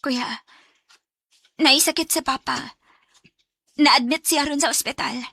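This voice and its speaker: Very bright, high-voiced Bisaya little sister. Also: female voice, fairly even intonation, takes it slowly, creaky, dry recording, studio quality, exaggerated voice. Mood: pleading. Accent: Filipino Bisaya. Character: young younger sister, polite, earnest, pleading. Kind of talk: radio drama acting.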